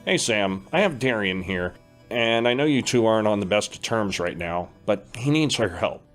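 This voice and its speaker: A man playing a calm, steady older brother who teaches about dreams, with faint music behind. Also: lowish voice, moderate pace, natural voice. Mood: warm. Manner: reassuring, steady. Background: faint background music.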